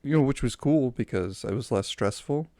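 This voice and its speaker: A young man chatting casually and relaxed with a friend on a podcast, laughing along often. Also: medium pitch, moderate pace, dry recording. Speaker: podcast co-host. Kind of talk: casual chat.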